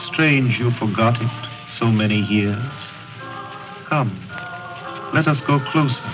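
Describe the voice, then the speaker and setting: An actor playing a solemn, commanding ghost in a 1930s radio drama, grave, stately and theatrical. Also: male voice, medium pitch, slow, lightly acted. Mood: grave, guiding. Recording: dull old radio, music behind.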